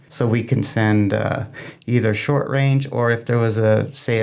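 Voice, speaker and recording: American guy explaining stuff casually, kinda halting, lowish gravelly voice. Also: a little mellow. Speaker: amateur radio club member, interview guest. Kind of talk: informal explanatory interview talk.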